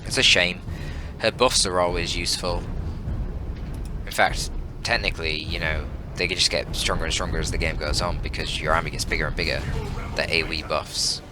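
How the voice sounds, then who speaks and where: A man's voice: sits low, steady tone, fairly quick. A man giving live strategy game commentary as a caster, analytical and conversational with casual swearing. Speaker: esports caster and channel host.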